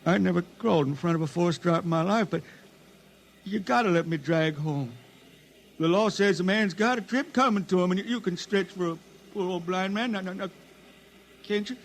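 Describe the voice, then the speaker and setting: An actor playing an old blind spaceman balladeer, homesick and wheedling, with a halting folksy drawl in old-time radio drama. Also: high voice. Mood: pleading. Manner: halting folksy drawl, repeats himself, pleading. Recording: noisy vintage radio recording.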